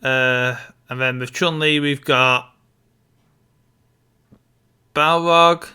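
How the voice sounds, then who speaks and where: A man's voice: mid-range voice, fairly even intonation, drawn-out words, bright, forceful tone, smooth. A man co-hosting a podcast, talking casually about a film. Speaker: podcast co-host.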